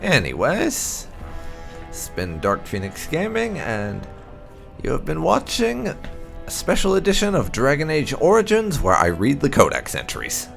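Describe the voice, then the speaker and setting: A man hosting a gaming channel, reading fantasy game lore aloud in a measured storytelling way. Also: fairly high pitch, huge pitch swings, gravelly, fairly bright tone. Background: background music.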